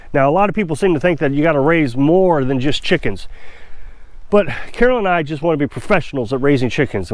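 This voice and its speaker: A man's voice: on the high side, a little mellow, dry recording. A man with a stuffy, congested voice, talking casually and opinionatedly to the camera in a rambling vlog. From Missouri. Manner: chatty, rambling, self-assured. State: congested from a cold. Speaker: off-grid homestead vlogger.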